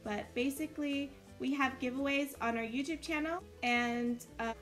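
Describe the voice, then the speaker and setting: Higher-pitched woman hosting a casual game tour. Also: normal speed, soft background music. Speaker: host of a family Roblox build tour.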